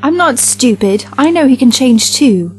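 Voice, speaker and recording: Young female companion, acted by a woman: fairly high, hesitant, defensive, slight stammer, for sci-fi audio drama. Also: lively intonation, unhurried, faint music behind, rough recording. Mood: defensive, unsure. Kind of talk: science-fiction audio drama acting.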